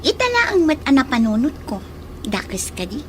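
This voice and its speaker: A woman's voice: high voice, wildly swooping pitch, normal speed. A woman playing a pushy, scheming young rival in love in a radio drama, with a suspicious, needling manner. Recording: faint noisy ambience behind.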